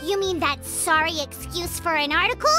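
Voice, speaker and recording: Game sidekick: tiny whiny fairy, squeaky child voice, extremely high and animated, music behind. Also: female voice, normal speed. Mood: indignant. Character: small excitable childlike fairy companion, indignant and loyal. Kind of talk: video game voice acting.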